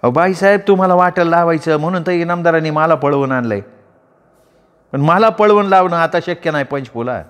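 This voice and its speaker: Cunning young woman snake-catcher read by a man, raised pitch, frank and assured. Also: brisk, a bit bright, natural voice.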